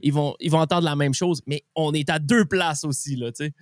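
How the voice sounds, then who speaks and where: A Québécois man hosts a relaxed interview in a voice pitched a bit high for a man. He talks in loose slang, often restarting his phrases. The sound is close and very dry. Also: dry recording. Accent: Québécois French. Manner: informal, slangy, halting with repeated false starts. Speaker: podcast host. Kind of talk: casual interview chat.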